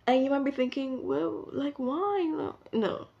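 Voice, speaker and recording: A woman's voice: high-pitched, lively intonation, takes it slowly, mellow. Rambling young South African woman. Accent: South African English. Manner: rambling, chatty, self-interrupting. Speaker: vlogger. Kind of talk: casual chat to camera. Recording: dull lo-fi recording.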